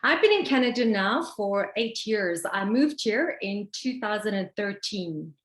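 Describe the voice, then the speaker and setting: A woman's voice: mid-range voice, animated, measured pace. South African woman in an echoey room. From South Africa, Pretoria. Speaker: expat guest. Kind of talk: casual interview chat.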